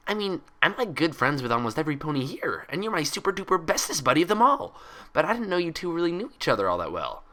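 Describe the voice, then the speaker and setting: A man's voice: a little high, sing-song, fast, fairly bright tone, voice pushed up. A man voicing a hyper, cheerful, gullible young female cartoon pony in bubbly, rambling, childlike chatter. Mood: chatty.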